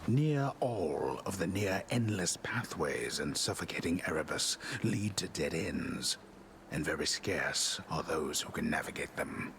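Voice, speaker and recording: An actor voicing a brooding, ominous underworld narrator in a video game, gravelly and grave. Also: male voice, rumbling low, expressive, a little slow, a bit bright, a little hiss or hum, full character voice.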